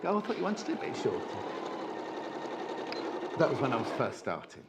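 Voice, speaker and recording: A man's voice: medium pitch, wildly swooping pitch, fairly quick, very noisy. Man, middle-aged, TV shopping host, rambling jokey patter, lapel mic in a studio. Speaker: shopping channel presenter. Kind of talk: live sales demo chat. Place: TV craft studio.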